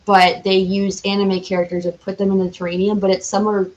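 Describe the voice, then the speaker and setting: A woman's voice: medium pitch, level tone, a little fast, slight background noise. A chatty, exuberant woman talking casually as a podcast guest, with a slightly muffled sound. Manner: chatty, self-described loud and exuberant. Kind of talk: casual chat.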